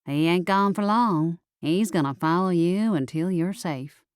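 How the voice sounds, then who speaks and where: A woman's voice: on the low side, measured pace, clear, resonant tone, no room sound, clean studio sound, lightly acted. Woman voicing a sassy, bossy talking cat. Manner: folksy, blunt, bossy.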